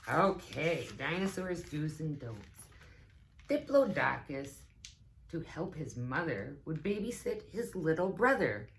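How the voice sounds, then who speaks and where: Woman, low register, slow and expressive story-time reader for small kids, chatty asides. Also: slight background noise. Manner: expressive, deliberate phrasing addressed to young children. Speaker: teaching assistant reading a picture book aloud. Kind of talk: children's story time read-aloud with asides.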